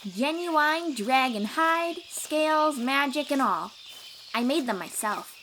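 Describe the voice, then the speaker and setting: A woman playing a cheerful, boastful young sea-dwelling warrior in an audio roleplay, eager and expressive as she talks to the listener. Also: high voice, slow pace, slight background noise, exaggerated voice. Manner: eager, bragging, reassuring, expressive storyteller.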